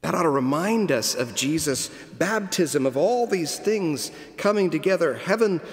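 A middle-aged man preaching a sermon from a pulpit, telling the story slowly and deliberately with dramatic pauses. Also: a little high, lively intonation. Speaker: cathedral dean preaching. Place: large stone cathedral, at a pulpit. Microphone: pulpit microphone. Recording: long cathedral reverb.

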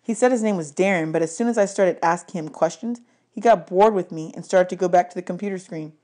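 A woman reading an audiobook aloud in an expressive storybook style. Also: fairly low pitch, fast. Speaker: audiobook reader.

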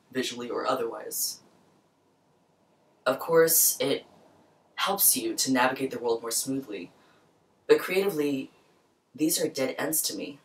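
A young woman hosting a program, speaking in a soft, thoughtful, personal way in a small room. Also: rumbling low, distant mic, rough recording. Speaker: program host. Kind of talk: reflective spoken introduction. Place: small bright room with plants, indoors.

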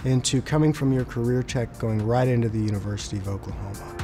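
A man's voice: mid-range voice, quick pace, dark tone. A young man, an airport operations officer, speaking in a promotional interview. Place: aircraft hangar. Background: music.

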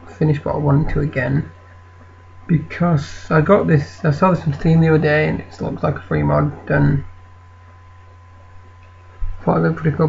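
A croaky, gravelly-voiced British man chats his way through a game in a casual, rambling style that corrects itself as it goes. His voice sits a little high, and the recording is dull, with a faint hiss behind it. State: croaky voice from an infection. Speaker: let's play host. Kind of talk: casual gameplay commentary.

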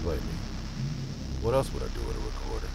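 An actor playing a bitter, hardened survivor with a violent past, gruff and gravelly, in an audio drama. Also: male voice, huge pitch swings, fast, heavy background noise, exaggerated voice. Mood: bitter, grim. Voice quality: deep, gravelly. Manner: gruff, swearing, muttering to himself.

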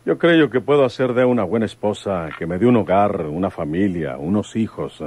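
Actor as a folksy, low-voiced rural police chief. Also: male voice, expressive, moderate pace, darkish tone, slight background noise, lightly acted. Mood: fond, hopeful. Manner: folksy, fatherly. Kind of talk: old Mexican radio drama acting.

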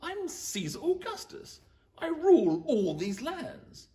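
A man's voice: very high-pitched, wildly swooping pitch, slow pace, warm tone, exaggerated voice. A man voicing a boastful, greedy Roman emperor in a rhyming story, pompous and gloating, laughing through his brags.

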